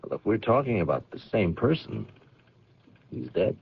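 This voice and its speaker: An actor playing a courteous, patient police lieutenant in an old-time radio drama, speaking haltingly as he mulls things over. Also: fairly low pitch, very animated, gravelly, breathy edge, muffled recording, heavily acted. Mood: careful, concerned. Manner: halting, mulling aloud, polite.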